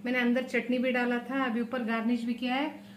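Chatty high-voiced woman cooking at home. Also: little pitch movement, fairly quick, a little hiss or hum. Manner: chatty, repeats "look" and blessings often. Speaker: cooking channel host. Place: home kitchen, indoors.

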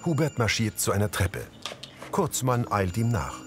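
Casting: male audio description narrator, low register, varied melody, music underneath. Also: very animated, moderate pace. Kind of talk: scripted narration of on-screen action.

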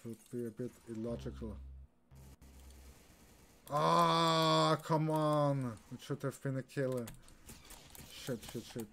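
Slow-paced young Austrian man streaming. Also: medium pitch, bright, forceful tone. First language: German. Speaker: game streamer. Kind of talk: casual live gaming commentary. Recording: clear keyboard and background noise.

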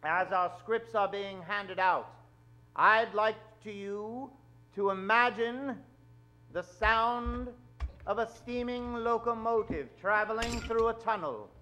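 Male stage emcee, middle-aged, high-pitched, showy and jokey, announcing at an unhurried pace. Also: bright, forceful tone. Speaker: host of a live radio show. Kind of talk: theatrical live show announcing. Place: theater stage. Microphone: vintage-style stand microphone.

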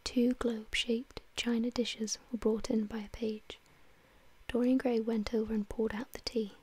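Female narrator, soft whispered literary reading, even and mellow. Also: fairly high pitch, unhurried. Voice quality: breathy unvoiced whisper. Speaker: audiobook narrator.

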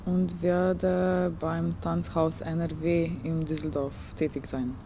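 A woman speaks slowly and thoughtfully in a low, mellow voice. She answers interview questions with small hesitations. Faint noise sits behind her. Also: little pitch movement. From Slovenia.

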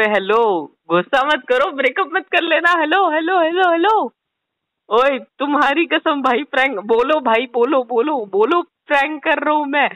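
A man's voice: extremely high pitch, sharp tone, dry recording, rough recording. A young man in a casual phone conversation, pleading and evasive as he explains himself. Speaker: prank caller.

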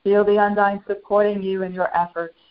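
Slow, halting woman channeling a serene spiritual master. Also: medium pitch, level tone, close to an everyday voice. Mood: serene, reverent. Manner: slow, halting short phrases with long pauses. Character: serene, benevolent ascended master St. Germain addressing followers. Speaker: channeler and meditation guide. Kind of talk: guided meditation. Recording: dull lo-fi sound.

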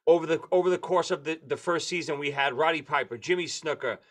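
A man in his forties with a New York accent, telling lively stories as a guest on a video-call interview. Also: fairly high pitch, fast talker. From Brooklyn, New York. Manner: lively, animated storyteller. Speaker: guest drummer and author. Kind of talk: casual interview chat. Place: home room with lights and posters.

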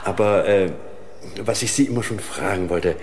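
An actor playing a polite, bookish museum visitor, courteous and precise, in a German radio crime play. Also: male voice, lowish voice, normal speed, darkish tone, slight background noise, lightly acted. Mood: courteous, hesitant.

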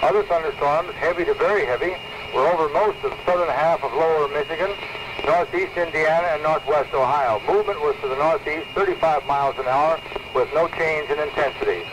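A man's voice: on the high side, fairly quick. Noisy vintage radio, American man reading bulletins. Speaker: weather radio announcer. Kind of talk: formal scripted radar summary. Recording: noisy 1979 radio tape.

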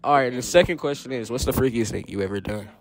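A man's voice: medium pitch, fast, background noise. A teenage male student doing casual, slangy street-style interviews at school. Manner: slangy, informal. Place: high school classrooms and cafeteria. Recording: busy crowd chatter behind.

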